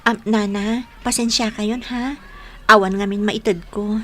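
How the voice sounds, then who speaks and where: A woman's voice: medium pitch, steady tone, unhurried, fairly bright tone, close to an everyday voice. A woman acting a patient young woman in love in a radio drama, with an Ilocano accent. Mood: gentle, placating. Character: young woman in love, patient, hurt by her suspected cheating boyfriend. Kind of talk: Filipino radio drama acting, narration and dialogue.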